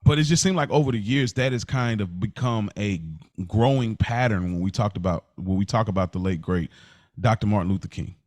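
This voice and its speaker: An American man with a fairly low voice talks casually as a podcast co-host. He works through his thoughts haltingly, doubling back to reword them. The sound is very dry, with no room echo. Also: darkish tone. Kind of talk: casual discussion.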